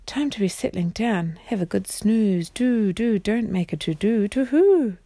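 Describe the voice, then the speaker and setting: A woman's voice: medium pitch, animated, a little slow, warm tone, dry recording. A woman voicing a sleepy male owl who talks in hooting rhymes in a storybook reading.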